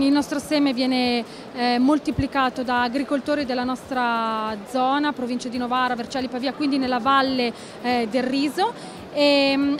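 High-voiced woman pausing with fillers. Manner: hesitant, many fillers and restarts. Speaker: rice company owner. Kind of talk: interview at a trade fair stand. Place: busy indoor exhibition hall. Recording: noisy fair hall background.

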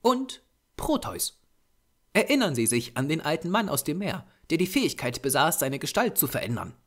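A small, lean Japanese doctor of the occult , acted out by a man reading an audiobook. The voice is raised high and moves about a lot. He lectures patiently and formally, with earnest insistence. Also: sing-song, moderate pace, a bit bright, heavily acted. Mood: insistent. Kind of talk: audiobook character voice.

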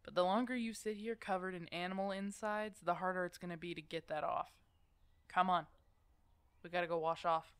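A woman's voice: lowish voice, fairly even intonation, brisk, smooth, no echo, close to an everyday voice. A woman playing a protective, teasing werewolf mentor in an ASMR roleplay, casual and bossy but affectionate. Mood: teasing, coaxing. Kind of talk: ASMR roleplay audio drama addressed to the listener.

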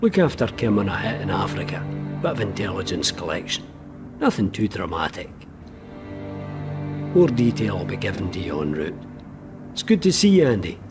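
An actor playing a persuasive spy recruiter in a video game, informal, matey and insistent. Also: male voice, deep voice, big pitch swings, normal speed, long pauses, music in the background. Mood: brisk. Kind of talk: video game cutscene acting.

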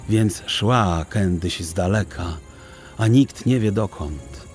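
A man narrating a literary story for an audio drama in a measured, expressive and solemn way. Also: fairly low pitch.

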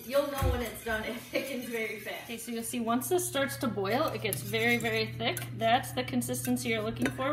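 Echoey, distant woman giving cooking instructions. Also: fairly high pitch. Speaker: home cook hosting a cooking show. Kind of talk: casual cooking demonstration, step-by-step instruction. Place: home kitchen, indoors, dish clatter behind. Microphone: camera a few steps away. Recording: roomy, distant camera mic with clear noise.

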